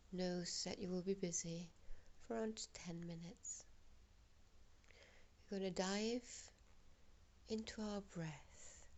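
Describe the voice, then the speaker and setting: A woman guiding a relaxation meditation in a soft, breathy, half-whispered voice, giving gentle, hushed instructions with long pauses. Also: medium pitch.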